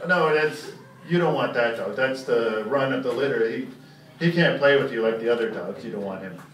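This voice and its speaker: A man's voice: medium pitch, normal speed, fairly bright tone, slight background noise, pitched below the performer's own voice, lightly acted. A man on stage voicing a blunt, scolding, profane dog owner in a comic story. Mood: dismissive. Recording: echoey live room, distant mic.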